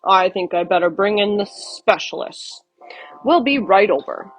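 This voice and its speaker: A woman's voice: mid-range voice, lively intonation, measured pace, soft background music, low-quality recording. Woman reading as a pompous, puzzled storybook doctor. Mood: pondering. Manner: exclaiming, listing, mumbling. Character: pompous, puzzled male doctor, brisk and professional.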